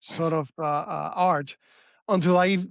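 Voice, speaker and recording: A man from Cuba, hosting a casual interview in a halting way, searching for words. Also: fairly high pitch, fairly even intonation, vocal fry, no echo, studio quality. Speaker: interviewer, host.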